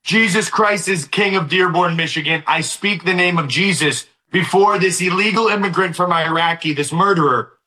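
A man's voice: high-pitched, normal speed, hardly any pauses, bright, forceful tone, echoey room, rough recording. A man appearing as a guest activist in an interview, speaking in a fiery, declamatory way.

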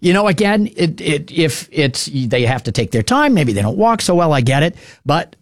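A man's voice: a little high, sing-song, moderate pace, no room sound, studio quality. A man hosting a call-in radio show, chatting in a rambling, easygoing way and laughing often. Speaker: radio talk show host.

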